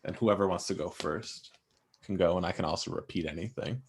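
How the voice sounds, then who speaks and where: A man's voice: deep voice, brisk, a little hiss or hum. A man moderating a panel, putting questions to guests in a conversational way.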